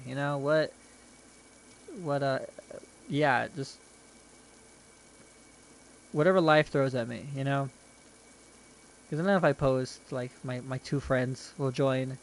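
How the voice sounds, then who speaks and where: Young man, casual stream chatter, rambling, mid-pitched voice, faint background hiss. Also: poor audio. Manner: chatty, self-deprecating, rambling with restarts. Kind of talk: casual rambling commentary while gaming. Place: his bedroom.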